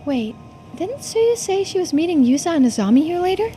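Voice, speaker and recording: Girl doing a nervous out-of-shape game heroine, high pitched, talks to herself, super emotional, music behind. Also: very animated, brisk, warm tone. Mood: uneasy, pondering. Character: anxious, out-of-shape young woman, guilt-stricken and self-doubting. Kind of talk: dramatic voiced reading of visual novel game dialogue.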